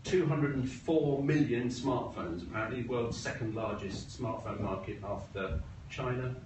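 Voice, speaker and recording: A middle-aged British man giving an informal business presentation in a measured way with wry asides. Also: mid-range voice, a little mellow. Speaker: presenter, agency co-founder. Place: room at a talk event. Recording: roomy, noisy, distant mic.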